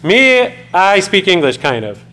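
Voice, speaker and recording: A man's voice: sits high, sing-song, unhurried, sharp tone. A man from the United States giving a conversational classroom lecture, often putting questions to his students. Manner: conversational, poses questions to students, loose with fillers. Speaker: college lecturer.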